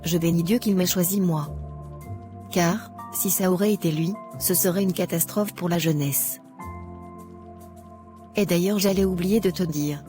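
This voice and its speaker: Woman acting as self-righteous young churchwoman, low mellow voice, preachy cartoon dialogue, impatient, music behind. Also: steady tone, unhurried, pauses often, natural voice. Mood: smug, gossipy. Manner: preachy, lecturing.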